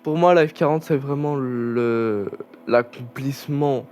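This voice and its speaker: A man's voice: mid-range voice, a little slow, darkish tone, soft background music. A young man chatting casually over a racing game, rambling with many fillers and restarts. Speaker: gaming channel host. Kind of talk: casual gameplay commentary.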